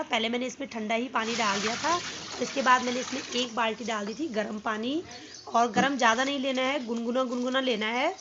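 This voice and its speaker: A young woman with a North Indian accent and a hoarse voice from a cold, casually explaining a household chore step by step for her home vlog. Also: a little high, sharp tone, lo-fi sound, slight background noise. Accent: Hindi-speaking North Indian. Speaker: home vlogger. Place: home, by a washing machine outdoors.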